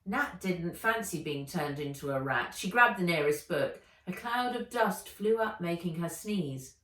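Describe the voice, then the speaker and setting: A woman narrating a children's adventure book aloud in an expressive, dramatic and deliberate way. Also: lowish voice. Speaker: author reading own book aloud. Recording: echoey room, distant microphone.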